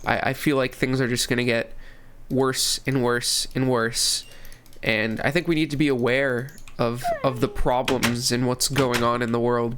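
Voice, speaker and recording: Young male host, stuffy from a cold, loose rambling game commentary, average pitch, light background hiss. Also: a bit bright. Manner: rambling, restarts phrases, many fillers. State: congested from a cold. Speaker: gaming channel host. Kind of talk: casual commentary while playing.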